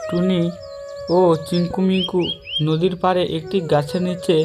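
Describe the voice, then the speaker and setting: A man's voice: high voice, little pitch movement, moderate pace, loud music behind the voice. A man reading scripted narration for a children's cartoon story.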